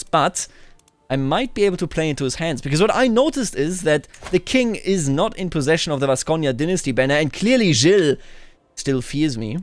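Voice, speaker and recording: A man giving casual roleplay commentary on a video game, musing and narrating it as a story. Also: a little high. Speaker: gaming video host. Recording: music under the voice. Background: background music.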